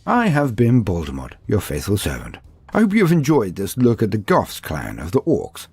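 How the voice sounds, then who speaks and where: A man's voice: lowish voice, wildly swooping pitch, moderate pace, a little mellow, breathy edge, no room sound. A man reading scripted fantasy lore as a narrator, in a theatrical, formal storytelling style.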